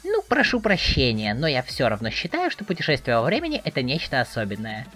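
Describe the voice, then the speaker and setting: A woman's voice: very deep voice, quick pace, faint music behind, full character voice. A woman playing an eager, naive teenage boy, chatty and inquisitive, in a dramatic webcomic reading. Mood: curious. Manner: excitable, inquisitive, expressive.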